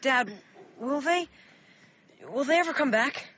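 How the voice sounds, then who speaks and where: A man's voice: extremely high pitch, animated, unhurried, sharp tone, full character voice. A young male actor playing a frightened, urgent boy in a radio play, with an anxious, exclaiming manner. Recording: muffled audio with faint noise.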